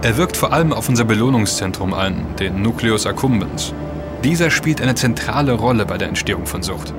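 A man's voice: lowish voice, expressive, brisk, over loud music, close to an everyday voice. An actor playing an earnest, knowledgeable man who explains and warns emphatically in a scripted audio drama. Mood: explaining. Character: earnest, knowledgeable man warning his friends.

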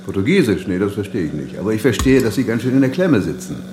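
A man's voice: on the low side, sing-song, quick pace, noisy background. An actor playing an impatient, sarcastic male police detective in a radio drama, with an ironic, needling manner. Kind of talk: German radio drama acting.

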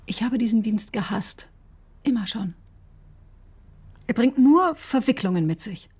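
A woman's voice: fairly high pitch, lively intonation, unhurried, a little mellow. An actress playing a headstrong, defensive female doctor in a radio crime drama. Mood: sober, bitter. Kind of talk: German radio drama acting.

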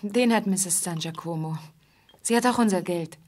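Breathy, pleading woman playing a young musician. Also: fairly low pitch, normal speed, a little mellow, lightly acted. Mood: anxious, unsure. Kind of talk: intimate radio drama dialogue.

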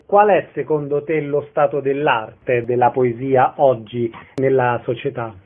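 A man's voice: medium pitch, unhurried. A man interviewing a guest on the radio with conversational questions. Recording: narrow, phone-like radio sound.

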